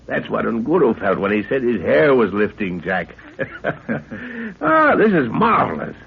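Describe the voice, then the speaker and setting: A man's voice: mid-range voice, wildly swooping pitch, measured pace, vocal fry, slight background noise, exaggerated voice. An actor playing a middle-aged explorer father, curious, authoritative and given to explaining, in a 1930s radio serial. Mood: marvelling. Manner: explanatory, exclaiming, commanding. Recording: dull 1930s radio recording.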